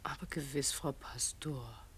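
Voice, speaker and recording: An actress playing a loyal, respectful maid companion to a pastor's wife in a radio drama, speaking simply. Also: female voice, a bass voice, a little slow, a bit bright, slightly rough, exaggerated voice. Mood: gentle.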